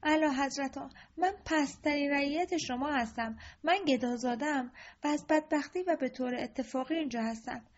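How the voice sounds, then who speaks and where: A woman voicing a frightened poor boy who is taken for a prince, pleading in a high anxious voice on a dull lo-fi recording. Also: lightly acted.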